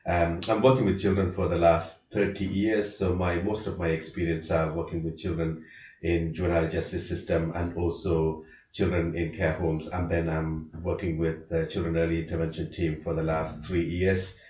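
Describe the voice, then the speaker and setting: A man's voice: deep voice, level tone, normal speed, darkish tone, recorded from a distance. A man in his fifties from Sri Lanka with a Sri Lankan accent, a children's support worker speaking earnestly on a podcast panel. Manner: earnest, with "uh" and "you know" fillers. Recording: echoey room, away from the mic.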